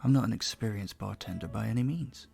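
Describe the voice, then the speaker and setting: Low, gravelly, charming vampire bartender played by a man. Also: a little fast, soft background music. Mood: flirtatious, modest. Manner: courteous, soft-spoken, slightly formal, teasing. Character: charming, polite male vampire bartender, flirtatious and modest. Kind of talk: audio roleplay, intimate one-sided dialogue.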